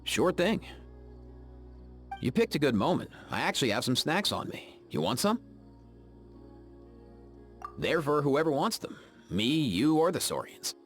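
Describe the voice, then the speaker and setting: An actor voicing an easygoing, friendly young veterinarian in a video game, with faint music behind. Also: male voice, a little high, sing-song, a little slow, pauses often. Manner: easygoing and friendly, with frequent laughs. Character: laid-back young man, a veterinarian who keeps saurians. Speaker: game character. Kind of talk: game voice acting.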